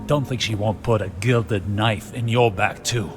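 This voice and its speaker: An actor playing a hard, distrustful veteran warrior, blunt, accusing and contemptuous. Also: male voice, fairly low pitch, fairly even intonation, unhurried, faint music behind, lightly acted. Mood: distrustful, scornful.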